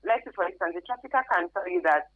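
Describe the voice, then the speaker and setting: Animated Nigerian woman, muffled radio sound. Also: lowish voice, quick pace. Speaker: radio guest, anti-trafficking expert. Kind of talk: informal explanatory interview answers.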